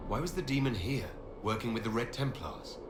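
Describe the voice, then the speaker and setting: An actor playing a stern, composed heroic leader in a video game, measured and direct. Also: male voice, mid-range voice, animated, echoey room, very noisy, close to an everyday voice. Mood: grave.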